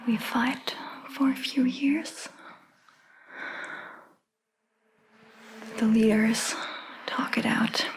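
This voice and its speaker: A woman playing a calm, caring vampire doctor in a soft ASMR roleplay, whispering gently and reassuringly with long pauses. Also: medium pitch, creaky, slight background noise. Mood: weary, patient.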